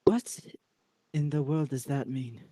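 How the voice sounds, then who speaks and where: Man as exasperated teen wizard, unhurried pace, very muted dark timbre, amateur audio drama. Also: mid-range voice, fairly even intonation, no echo, clean studio sound, natural voice. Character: Cedric, exasperated teenage wizard schoolboy.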